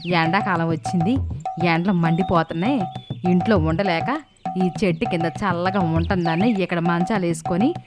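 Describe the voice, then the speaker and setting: Woman as stubborn, grumbling village mother-in-law, cartoon moral tale, clear music underneath. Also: fairly low pitch, normal speed, natural voice. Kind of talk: cartoon moral story dialogue.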